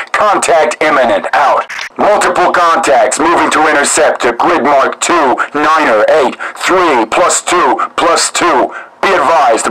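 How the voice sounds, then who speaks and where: Clipped National Guard radio operator. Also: male voice, on the high side, sharp tone, slight background noise, rough recording. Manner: clipped, formal radio call-outs. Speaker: military radio dispatcher. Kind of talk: military radio procedure.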